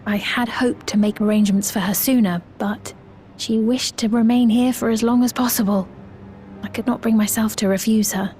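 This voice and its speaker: An actress playing a gentle, composed woman leader in a game cutscene, speaking formally and with measured reassurance. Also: a little high, fairly even intonation, slight background noise, lightly acted. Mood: composed, reassuring. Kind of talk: dramatic video game cutscene acting.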